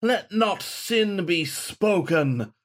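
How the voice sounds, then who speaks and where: Man voicing a pompous, sneering wolfhound saint's ghost, pitched up. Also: lively intonation, extremely slow, heavily acted. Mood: declaiming. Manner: pompous, archaic, declamatory.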